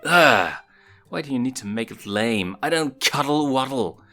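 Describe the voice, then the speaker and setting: A man's voice: mid-range voice, lively intonation, unhurried, sharp tone, soft background music, voice pushed up. A man voicing a playful, sensitive young lion cub prince, teasing and easily indignant, in a read-aloud story.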